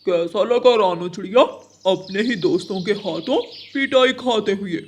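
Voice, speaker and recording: A man's voice: high-pitched, moderate pace, slight background noise, lightly acted. A man voicing a scheming male crow in a children's cartoon, with an emphatic, theatrical delivery. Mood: taunting. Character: wicked, scheming male crow, bossy and greedy. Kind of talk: Hindi children's cartoon dialogue.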